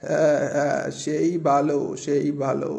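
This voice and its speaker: Man acting a soft, slow elderly father. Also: fairly high pitch, completely flat delivery, creaky. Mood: coaxing. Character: elderly village father, gentle, coaxing, concerned. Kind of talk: animated moral story dialogue.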